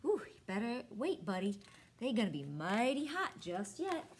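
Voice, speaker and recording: A woman voicing a kindly, gently teasing Southern grandmother in a storybook, folksy and coaxing. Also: a little high, sing-song, moderate pace, a little hiss or hum. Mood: mild fussing. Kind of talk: storybook read-aloud.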